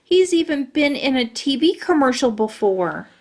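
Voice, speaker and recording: A woman's voice: high voice, sing-song, normal speed, a little mellow. A woman voicing a bossy, huffy little schoolgirl who whines and demands. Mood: angry. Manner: whiny and demanding.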